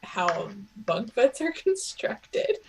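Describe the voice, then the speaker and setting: A woman's voice: on the high side, big pitch swings, slow pace, a little hiss or hum, low-quality recording. A woman playing a tabletop game, talking casually. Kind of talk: casual chat.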